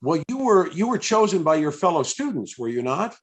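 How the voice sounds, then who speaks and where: A man's voice: fairly high pitch, very animated, fairly quick. An older American man interviewing a guest in a casual, effusive, reminiscing way, often repeating himself. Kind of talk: casual, chatty live interview. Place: indoors on a video call.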